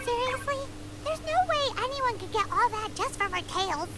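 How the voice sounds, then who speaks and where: A woman voicing a tiny, childlike, excitable fairy companion in a video game, squeaky and exclamatory. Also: moderate pace. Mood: incredulous, curious. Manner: squeaky, exclamatory, speaks of herself in third person.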